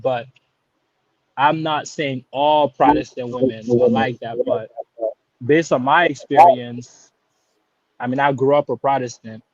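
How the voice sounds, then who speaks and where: A man's voice: medium pitch, highs cut off. Laid-back Haitian-born man in his thirties. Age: 30s. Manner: hesitant, many restarts and fillers, laid-back slang. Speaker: caller. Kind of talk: casual live-stream call-in chat.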